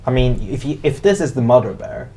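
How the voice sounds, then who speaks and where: A man's voice: medium pitch, animated, fairly quick, dark tone. A man improvising narration as the game master of a tabletop role-playing game.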